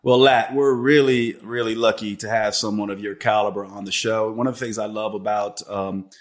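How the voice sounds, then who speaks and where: Earnest podcast host asking long questions. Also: male voice, fairly low pitch, steady tone, moderate pace. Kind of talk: interview questions.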